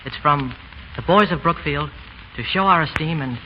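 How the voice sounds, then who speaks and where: An actor playing a polite schoolboy, speaking formally and a little hesitantly in a 1930s radio drama. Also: male voice, fairly high pitch, normal speed, natural voice. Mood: earnest, nervous. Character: polite, earnest English schoolboy making a formal presentation. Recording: muffled, noisy, reverberant 1930s hall sound.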